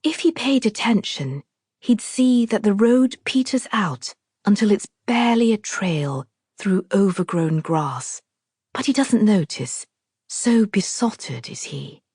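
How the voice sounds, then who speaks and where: A woman reading a novel aloud as a scripted literary narration, in a measured, deliberate, expressive storytelling manner. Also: mid-range voice, breathy edge, no echo, studio quality. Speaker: author narrating her own audiobook.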